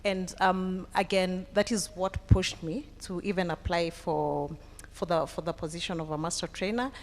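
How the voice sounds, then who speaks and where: A woman with a Kenyan accent speaking unscripted on a panel as a trainer, halting as she thinks aloud. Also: lowish voice, fairly even intonation. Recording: echoey hall with long reverb.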